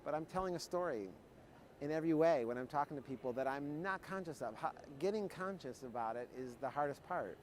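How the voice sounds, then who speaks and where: A man's voice: on the high side, animated, fairly quick, slight background noise. A man hosting an interview, asking long, rambling questions. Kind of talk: conversational interview. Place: trade show floor.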